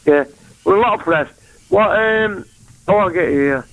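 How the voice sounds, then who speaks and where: A man's voice: high voice, moderate pace, fairly bright tone, poor audio. A man with a Mancunian accent rambling from topic to topic on a phone-in call. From England. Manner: rambling, jumps between topics. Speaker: caller.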